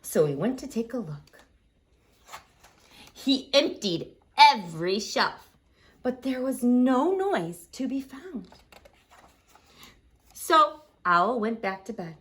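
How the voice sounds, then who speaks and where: A woman hosting a storytime for young children, singing along and reading aloud in an animated, encouraging way. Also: a little high, slow, long pauses. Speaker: library storytime host. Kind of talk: children's sing-along and picture-book read-aloud.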